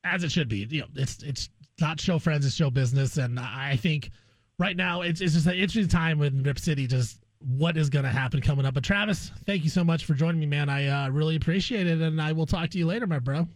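Informal man hosting sports radio, higher-pitched voice. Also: fairly quick, dry recording. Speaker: guest host of sports radio show. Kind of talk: conversational sports radio interview.

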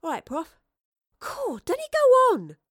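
A woman's voice: sits high, big pitch swings, moderate pace, no echo, clean studio sound, pitched above the performer's own voice. A woman playing a cheeky, feisty young Tudor tavern girl in an audio drama, brash and colloquial. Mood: cheeky bravado.

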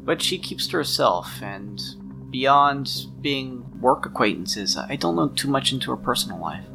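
A man's voice: medium pitch, animated, a little slow, gravelly, over music. A man playing a helpful, unassuming shop owner in a tabletop game, hesitant and accommodating as he talks. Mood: hesitant, concerned.

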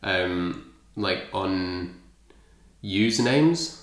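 A man's voice: low-pitched, animated, drawn-out words. A man hosting a podcast in a thoughtful, rambling casual chat with many fillers. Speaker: podcast host. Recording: echoey room.

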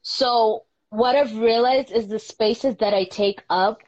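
A young woman with a fairly high voice speaks candidly about her own experience. She is chatty and often affirms the other speaker. The sound is slightly muffled. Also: slow pace, darkish tone. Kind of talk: casual discussion.

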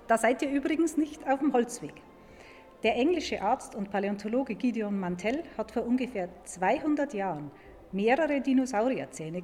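Female paleontologist, higher register, informal and emphatic explainer for young listeners, long museum reverb. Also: a little mellow. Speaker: museum paleontologist, guest expert. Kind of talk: explaining science to children in an audio play.

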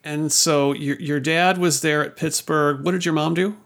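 A man's voice: a little high, steady tone, normal speed, fairly bright tone. A man hosting a podcast interview, asking questions casually with frequent stumbles and restarts. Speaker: podcast host.

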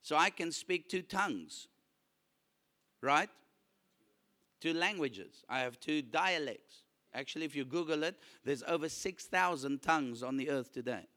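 A man whose first language is Afrikaans, preaching a lively sermon and calling out to his congregation for responses. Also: a little high, unhurried. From South Africa. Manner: animated, calls for audience response.

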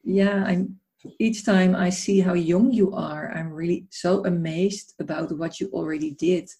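Mellow-voiced podcast host woman. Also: on the low side, steady tone, a little slow. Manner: warmly admiring, conversational with restarts. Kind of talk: interview podcast hosting.

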